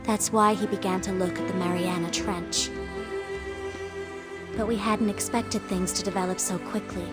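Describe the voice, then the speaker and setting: A composed female agent from a video game, acted by a woman, briefing with serious purpose. Her voice sits at an average height, and music plays clearly behind it. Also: mid-range voice, fairly even intonation, moderate pace, natural voice. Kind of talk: dramatic video game voice acting.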